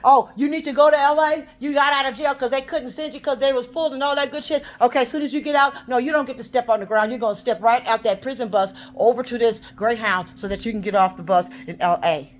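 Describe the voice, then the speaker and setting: A woman ranting in a rambling, profane monologue, swinging between loud outbursts and low muttering. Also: steady tone, fast talker, hardly any pauses. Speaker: vlogger.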